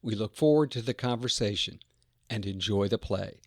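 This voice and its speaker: A man's voice: on the low side, moderate pace, heavy background noise. A man hosting a podcast, giving an introduction and credits in an emphatic, phrase-by-phrase delivery. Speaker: podcast host.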